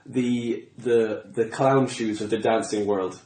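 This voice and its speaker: Halting male interviewer in an echoey room. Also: fairly low pitch, fairly even intonation, a little mellow. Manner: halting, rambling questions. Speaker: podcast host. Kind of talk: casual interview chat.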